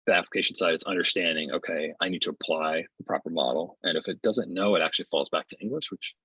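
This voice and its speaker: A middle-aged man giving a casual webinar demo in a halting, conversational way, on dull video-call audio. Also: low voice, fairly bright tone, husky, no echo. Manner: halting, conversational, often checks with "right?". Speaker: software developer presenting his tool. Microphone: earbuds on a video call.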